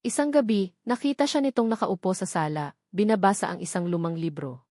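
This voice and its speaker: A woman's voice: mid-range voice, a little fast, darkish tone, no echo, studio quality. A woman reading a scripted, dramatic audiobook story as its narrator.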